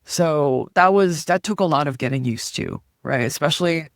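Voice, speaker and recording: A woman from Taiwan, a comedian and guest on an interview, telling stories in an animated, chatty way and acting out the voices she quotes. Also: sits low, normal speed, no room sound, clean studio sound. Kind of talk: casual interview storytelling.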